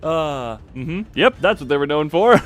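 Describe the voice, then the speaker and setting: A man's voice: on the high side, animated, unhurried, faint music behind. A man streaming a story game, reading dialogue aloud and joking in a self-mocking way. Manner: jokey, self-mocking, breaks into laughter.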